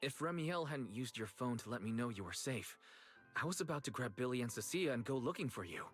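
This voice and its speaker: A man playing an earnest, protective brother in an anime-style game, speaking clearly. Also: medium pitch, animated, a little fast, natural voice. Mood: tense, worried. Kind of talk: game dialogue voice acting. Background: game music faintly behind.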